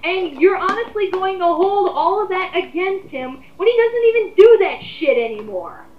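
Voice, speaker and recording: A woman's voice: very high-pitched, animated, moderate pace. A woman on a casual group rant, talking in an exasperated, emphatic way with plenty of swearing. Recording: muffled, echoey amateur voice recording.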